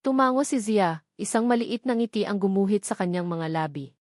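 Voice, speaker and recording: A woman's voice: medium pitch, animated, fairly quick, darkish tone, no echo, studio quality. A woman with a Filipino accent narrating a scripted romantic drama as an audiobook storyteller.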